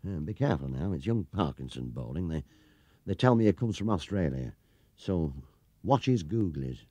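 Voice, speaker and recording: A man's voice: deep voice, lively intonation, normal speed, mellow, no echo, pitched below the performer's own voice. A man voicing a puzzled, easygoing cricket official with a hesitant, folksy manner in a storybook reading. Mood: cautious advice.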